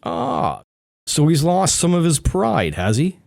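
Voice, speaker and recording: A man plays a brooding, cruel master of a gloomy house in a radio drama. His pitch swings widely as he speaks, bitter, sardonic and intense. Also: wildly swooping pitch, takes it slowly, vocal fry, a little mellow, full character voice. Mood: suspicious.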